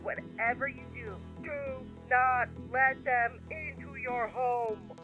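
A woman's voice: a little high, fairly even intonation, slow, phone-quality sound, over music. A woman acting an emergency dispatcher, official and emphatic with an ominous edge, through a telephone filter in an audio drama. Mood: urgent warning. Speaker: 911 dispatcher.

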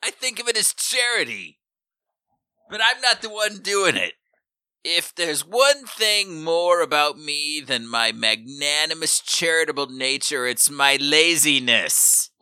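A man's voice: on the high side, animated, unhurried, pushed and bright, clear, resonant tone, no echo. A man playing in a tabletop game, bantering in a crass, wisecracking way.

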